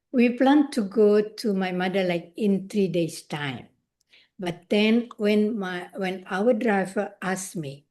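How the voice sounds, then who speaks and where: An older woman from Indonesia, whose first language is Indonesian, speaking haltingly and searching for words in an interview. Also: medium pitch, mellow. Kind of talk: conversational interview.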